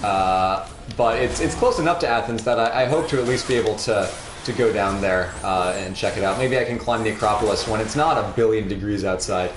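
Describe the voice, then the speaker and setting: A young man chatting casually and a little haltingly as he hosts a gaming livestream. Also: fairly low pitch. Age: young adult. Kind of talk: casual chat while playing a game. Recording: roomy echo, faint background noise.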